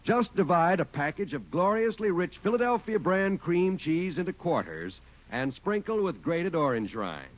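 An American man delivers an old-time radio commercial. His fairly high voice rises and falls with polished enthusiasm. The sound is dull and vintage. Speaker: radio announcer. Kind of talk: 1940s radio advertising read. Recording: muffled 1940s radio recording.

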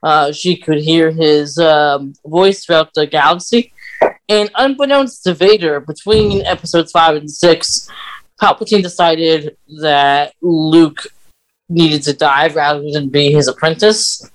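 A man with a fairly high voice talks casually as a podcast co-host. He explains fan lore in a halting way, often pausing in the middle of a sentence. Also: poor audio.

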